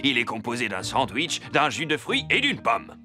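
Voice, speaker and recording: A man's voice: mid-range voice, huge pitch swings, normal speed, over music. A man voicing a big, hearty, food-loving lion zookeeper in a children's cartoon dub. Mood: delighted.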